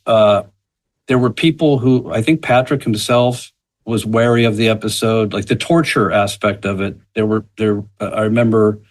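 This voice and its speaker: Halting man with a lowish voice. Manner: halting, searching for words. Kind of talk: casual recollection in conversation.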